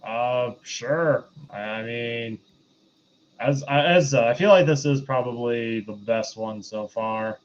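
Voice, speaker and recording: A man co-hosting a casual review chat, talking in a measured, hedging way on a slightly dull microphone. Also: mid-range voice. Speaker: podcast co-host.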